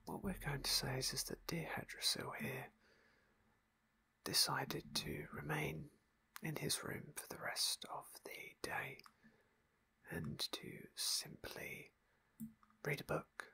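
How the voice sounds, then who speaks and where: A man whispering ASMR narration of a video game playthrough in a soft, unhurried, genteel way. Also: fairly high pitch, creaky, husky.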